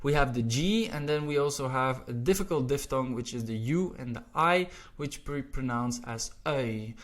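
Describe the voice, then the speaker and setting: A Belgian man with a Flemish accent, a Dutch speaker teaching pronunciation in English, patient and repeating each word slowly. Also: mid-range voice. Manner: patient, repeats words slowly, says "all right" often. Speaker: language teacher. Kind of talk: pronunciation lesson.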